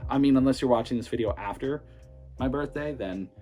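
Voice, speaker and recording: A man in his late twenties, a streamer, talking casually to his viewers in a relaxed, rambling way with many fillers. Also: medium pitch, a little fast, soft background music. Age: 20s. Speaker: streamer and vlogger. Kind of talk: casual talk to camera.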